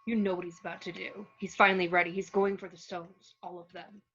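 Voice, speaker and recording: A woman's voice: fairly low pitch, little pitch movement, brisk, a bit bright, husky. A woman playing a captive female cyborg in an amateur script read. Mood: grim, urgent. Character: Nebula, captive female cyborg in recorded memory. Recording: dull, muffled lo-fi microphone.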